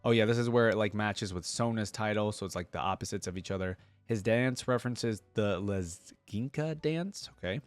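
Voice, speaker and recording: A man's voice: fairly low pitch, normal speed, few pauses, no room sound. A man hosting a reaction channel, chatting informally and thinking aloud as he weighs his opinions for viewers. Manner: informal, hesitant deliberating, addresses viewers directly. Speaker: host of a game reaction channel. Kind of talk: casual running commentary, thinking aloud.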